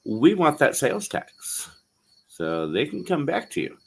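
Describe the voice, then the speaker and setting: A man's voice: on the high side, wildly swooping pitch, a little slow, husky. A man with a Californian accent, chatting on a live stream and giving encouraging advice. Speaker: guest in live chat. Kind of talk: casual live-stream chat.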